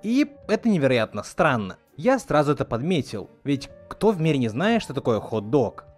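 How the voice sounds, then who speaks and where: Lively male video essayist over music. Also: mid-range voice, moderate pace. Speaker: video host reviewing film dubbing. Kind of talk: scripted narration, video essay commentary. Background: background music.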